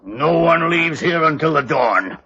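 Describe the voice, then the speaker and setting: A gravelly, forceful old-world manservant, stern and threatening, barking archaic orders in swooping tones. It is an old radio drama. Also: male voice, on the high side, lively intonation, unhurried, full character voice. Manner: gruff, commanding, archaic. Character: stern armed manservant from 1804, loyal and threatening. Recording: dull old radio recording.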